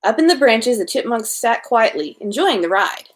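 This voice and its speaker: Female storyteller, higher-pitched voice, informal read-aloud of a children's bedtime tale, slightly unpolished delivery. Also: expressive, moderate pace.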